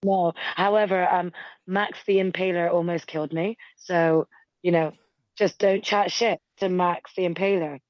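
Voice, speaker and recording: A woman co-hosting a wrestling podcast, slightly hoarse from a lost voice, chatting and telling a story. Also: fairly low pitch, fairly even intonation, a little slow, no room sound. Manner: chatty storyteller, laughs through her tale. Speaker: podcast co-host. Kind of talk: casual chat. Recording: dull lo-fi mic.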